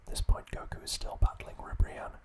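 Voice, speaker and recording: A man hosting ASMR, giving a recap in a breathy, unvoiced whisper with gentle, measured pauses. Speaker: ASMR host.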